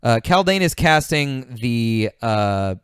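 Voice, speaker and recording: A man's voice: mid-range voice, big pitch swings, slow, a bit bright, clean tone, dry recording, clean studio sound. A man hosting a podcast in casual, informal chat, swearing freely. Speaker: podcast host.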